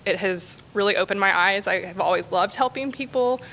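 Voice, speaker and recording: Bright, creaky-voiced woman in an echoey hall. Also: brisk. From grew up in the Middle East, Australia and Houston. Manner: rambling, self-correcting. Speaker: realtor at a speaker training class. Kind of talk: informal unscripted self-introduction speech. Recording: echoey hall, rumbling background noise.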